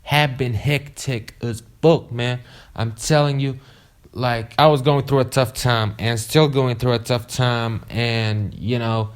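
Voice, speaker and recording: A young man whose first language is Arabic rambles through a casual storytime. His voice sits in a middle pitch, and he keeps dropping "you know" and swearing as he talks. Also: steady tone, slow pace, clear, resonant tone. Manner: rambling, profane, many "you know" fillers. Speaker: vlogger.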